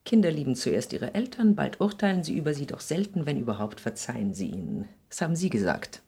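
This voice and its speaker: A woman's voice: low-pitched, sing-song, brisk, dark tone, breathy edge, exaggerated voice. An actress playing a proud, wounded middle-aged mother in a radio play, grave, restrained and bitter. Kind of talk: 1960s radio play acting.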